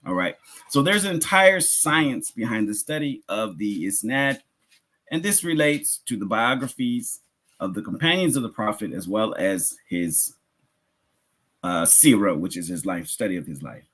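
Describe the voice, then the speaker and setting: A man's voice: on the low side, animated, normal speed, a bit bright, breathy edge. Man teaching a religious class. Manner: conversational, rhetorical questions, rephrases points for listeners. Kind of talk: religious lecture, explanatory teaching.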